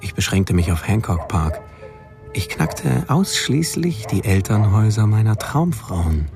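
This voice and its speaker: An actor playing a troubled young drifter confessing his obsessions in a deliberate, brooding monologue for a radio drama. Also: lowish voice, very animated, vocal fry, over music, full character voice. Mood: brooding, confessional.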